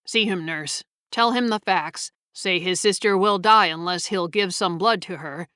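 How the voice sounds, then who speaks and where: A woman voicing a brisk, commanding male surgeon in a short story reading. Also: on the low side, clean tone, no room sound, clean studio sound. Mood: urgent. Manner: brisk, commanding, later gentle. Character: calm authoritative male surgeon.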